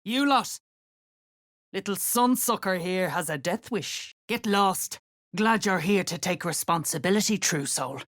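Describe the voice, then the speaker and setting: A woman's voice: lowish voice, animated, a little slow, a bit bright, dry recording, clean studio sound. A woman playing a menacing female cultist overseer in a video game, curt, threatening and full of contempt. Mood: impatient, bossy. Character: gruff, menacing female cultist slave-driver bossing diggers. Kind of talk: video game character acting.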